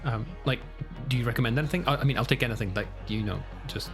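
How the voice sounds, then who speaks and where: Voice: medium pitch, fast talker, over music. A player improvising roleplay in a nervous, stammering manner at a tabletop game.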